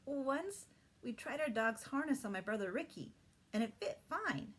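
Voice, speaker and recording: A woman voicing a playful, excitable, chirpy little girl in a children's storybook. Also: fairly high pitch, normal speed, breathy edge, voice pushed up, exaggerated voice. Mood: excited.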